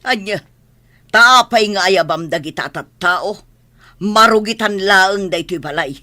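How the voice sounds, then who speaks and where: A woman's voice: mid-range voice, animated, measured pace, bright, forceful tone. A woman playing a haughty, wealthy middle-aged mother in a radio drama, with an imperious, dramatic way of talking. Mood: haughty disdain. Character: haughty wealthy mother, disdainful of poor relatives. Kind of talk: Ilocano radio drama acting.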